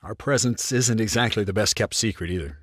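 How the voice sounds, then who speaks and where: A man acting as a composed, brisk military commander giving clipped, authoritative briefings in a video game cutscene. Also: on the low side, breathy edge, dry recording, studio quality. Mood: grave, businesslike. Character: composed, brisk military intelligence commander running covert operations.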